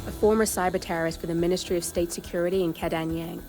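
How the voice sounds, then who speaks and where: A woman's voice: fairly low pitch, steady tone, fast talker, warm tone, natural voice. A woman playing a blunt, sardonic, streetwise hacker in a cinematic video game. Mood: briefing, dry. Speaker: hacker ally. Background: music.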